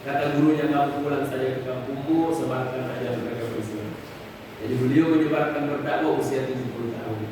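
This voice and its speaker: A man's voice: mid-range voice, fairly even intonation, fairly quick. A man giving a conversational Islamic sermon, explaining patiently and repeating phrases for emphasis. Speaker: Islamic preacher answering questions. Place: mosque hall. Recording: echoey mosque hall, distant mic, noisy.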